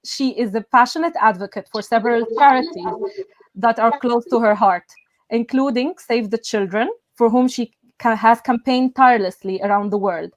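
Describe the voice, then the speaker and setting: A young woman from Egypt, a native Arabic speaker, giving an earnest, measured welcome speech as event host over a video call. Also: on the high side, no room sound. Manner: earnest, measured, with soft filler sounds. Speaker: event host, student union president.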